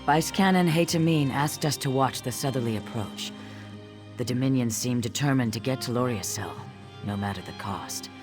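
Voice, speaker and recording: A man's voice: mid-range voice, normal speed, close to an everyday voice. Man playing a sober fantasy soldier, music behind. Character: dutiful, sober male soldier on watch. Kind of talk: fantasy video game dialogue.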